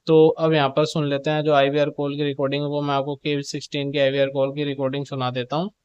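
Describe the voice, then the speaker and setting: Flat-toned man explaining updates, higher-pitched voice. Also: fast, clear, resonant tone, no room sound, lo-fi sound. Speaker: video presenter giving show updates. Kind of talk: informal explainer narration.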